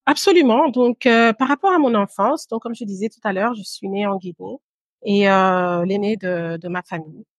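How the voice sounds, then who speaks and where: Female interview guest, thirties, mid-pitched, measured storyteller who pauses often; dry sound. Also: dry recording. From Guinea and Brussels, Belgium. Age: 30s. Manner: measured, pausing, with occasional English words. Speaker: podcast guest, entrepreneur.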